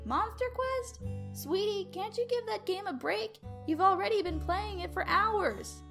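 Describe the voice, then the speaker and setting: Woman doing a high-pitched, nagging mom. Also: expressive, measured pace, gravelly, music in the background, full character voice. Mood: calling, coaxing. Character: nagging, exasperated mother of a teenage gamer. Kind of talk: dramatic story reading.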